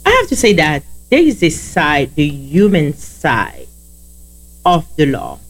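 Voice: mid-range voice, wildly swooping pitch, slow, breathy edge. A podcast host, talking casually and directly with loose grammar. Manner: heavy accent, broken grammar, enthusiastic and direct.

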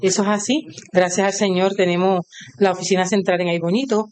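A woman's voice: mid-range voice, a little fast, clean tone. Proud devout Puerto Rican older woman. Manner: devout, proud, lists things in steady rhythm. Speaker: retired cooperative employee, interviewee. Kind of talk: on-site interview.

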